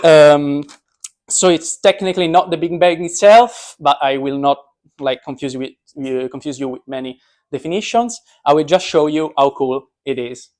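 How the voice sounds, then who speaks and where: A man's voice: on the high side, moderate pace, a little mellow. Joking young man giving an informal science talk. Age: young adult. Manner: joking, self-deprecating. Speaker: speaker, physics PhD student.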